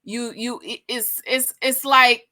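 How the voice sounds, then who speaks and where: A woman's voice: fairly high pitch, fairly even intonation, slow pace, bright, forceful tone. A woman in her forties giving sassy, chatty commentary as a vlogger and talking straight to her viewers. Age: 40s. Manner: sassy, chatty, addresses viewers as girl and y'all. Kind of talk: casual gossip commentary.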